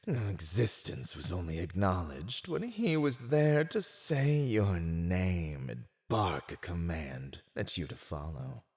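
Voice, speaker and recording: Low, silver-tongued, gloating fantasy villain. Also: male voice, darkish tone, full character voice. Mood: mocking, gleeful. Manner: teasing, condescending, theatrical drawl. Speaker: voice actor. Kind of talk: ASMR roleplay, scripted villain monologue.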